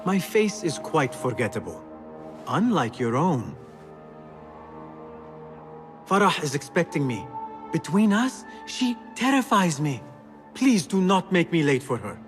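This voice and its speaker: Charming, lively young rogue. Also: male voice, on the high side, a little slow, faint music behind. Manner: formal phrasing, teasing, persuasive. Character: smooth, charming, wheedling young male thief. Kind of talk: video game cutscene acting.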